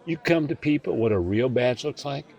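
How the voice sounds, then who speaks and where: Hostile mob bouncer male actor, raspy with big pitch swings, sneering sarcasm, 1940s radio spoof. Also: expressive, moderate pace, darkish tone. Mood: hostile, jeering. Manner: sarcastic, belligerent. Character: hostile, jeering male mob door guard. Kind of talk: parody of 1940s radio acting.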